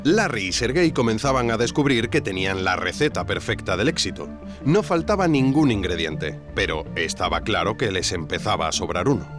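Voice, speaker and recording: A man's voice: fairly low pitch, expressive, fairly quick, lightly acted. A man playing a wry investigative narrator, reading scripted documentary narration in an audio drama. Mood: wry storytelling. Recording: faint music bed.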